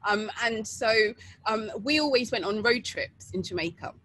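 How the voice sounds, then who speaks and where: A woman's voice: medium pitch, normal speed, a bit bright, slight background noise, poor audio. A middle-aged woman from England telling her story in a casual, chatty way, with many fillers and laughs, over a webcam video call. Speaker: interviewee. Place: outdoor porch at night.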